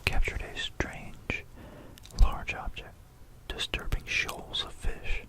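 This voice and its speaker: An ASMR presenter narrating history in a whisper, musing aloud between passages, close to a stereo microphone. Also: takes it slowly. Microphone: close stereo binaural microphone.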